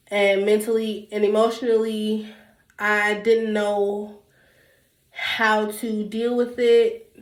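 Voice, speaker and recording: A young woman with a stuffy nose from a cold, talking candidly to her viewers in a rambling, casual way with lots of ums and restarts. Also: medium pitch. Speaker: YouTuber. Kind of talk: casual sit-down talk to camera. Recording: echoey room.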